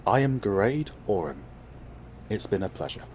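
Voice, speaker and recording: A man voicing a courteous, steely female clan leader with paws, speaking in a formal, polite and measured way. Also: lowish voice, big pitch swings, a little mellow, a little hiss or hum.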